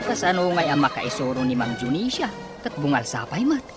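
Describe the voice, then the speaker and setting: A woman in an Ilocano radio comedy drama, nosy and given to loud exclamations. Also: low voice, sing-song, a little fast. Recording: music bed under the voice.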